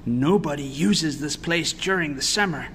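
A man's voice: on the high side, normal speed, fairly bright tone, a little hiss or hum, pitched above the performer's own voice. A man voicing an earnest young boy devoted to his pet in a storybook reading. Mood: earnest, hopeful.

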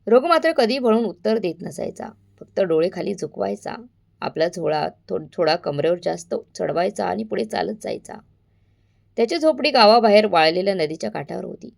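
A woman's voice: medium pitch, expressive, moderate pace, creaky, darkish tone. A woman narrating a scripted story in a dramatic, suspenseful way, addressing listeners directly.

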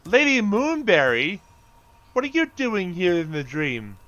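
A man's voice: sits high, wildly swooping pitch, measured pace, bright, forceful tone, dull audio. A man doing casual, offhand gameplay commentary, half talking to himself as he plays. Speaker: gamer host narrating own play.

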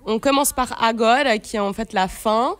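A woman's voice: on the high side, normal speed. A woman, chatting casually as an interview guest, searching for her words, close on a studio mic. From Switzerland, then Brazil as a teenager. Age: late 20s. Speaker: guest, singer-songwriter. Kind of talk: casual radio interview chat. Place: radio studio. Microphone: studio microphone on a stand, close.

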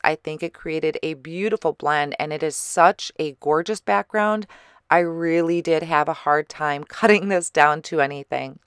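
A woman giving a chatty voice-over for a card-making tutorial, her voice slightly hoarse. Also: lowish voice, no echo, clean studio sound. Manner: relaxed, chatty explaining with small fillers. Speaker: card-making channel host. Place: recorded separately as voice-over.

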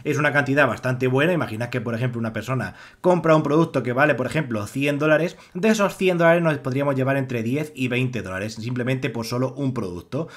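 A man's voice: medium pitch, brisk, hardly any pauses. A young man with an accent from Spain narrating a screen-recorded tutorial, rambling with many fillers and restarts. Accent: Spanish from Spain. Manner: rambling, heavy use of filler "pues" and restarts. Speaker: online money-making tutorial host.

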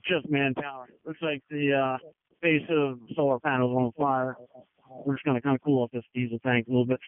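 A man's voice: mid-range voice, little pitch movement, a little fast. Man on a tinny fire radio. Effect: two-way radio. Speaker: fire chief in incident command. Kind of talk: emergency radio traffic, incident command.

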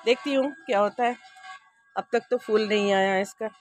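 A woman's voice: mid-range voice, moderate pace, faint music behind. A woman in her sixties gives a homely, chatty tour of her rooftop garden, talking straight to her viewers and filming on a handheld phone outdoors. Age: 60s. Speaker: vlogger. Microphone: handheld phone camera.

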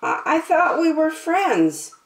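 A woman's voice: high-pitched, very animated, slow pace, voice pushed up, heavily acted. A woman voicing a poor, long-suffering little male dachshund in a storybook, pleading plaintively. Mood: hurt, pleading. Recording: roomy echo.

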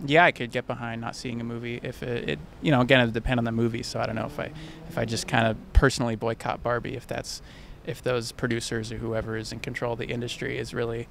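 A young man answers questions on a city street, speaking into a mic held out to him. His voice stays level and flat as he thinks aloud and restarts sentences. Faint traffic noise runs behind. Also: mid-range voice, fast talker, vocal fry, few pauses. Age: young adult. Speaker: passerby. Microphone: handheld mic held by interviewer.

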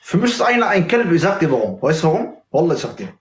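A man's voice: on the high side, big pitch swings, fast, echoey room. A man in his twenties on a phone livestream, bantering in street slang and swearing "Wallah" at nearly every turn. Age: 20s. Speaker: livestream guest.

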